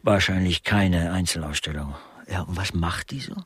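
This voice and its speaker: An actor playing a sardonic artist turned amateur investigator in a radio play, talking in a mannered, literary, dryly ironic way. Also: male voice, low-pitched, normal speed, husky, no echo, studio quality. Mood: sardonic, probing. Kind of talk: German radio play dialogue.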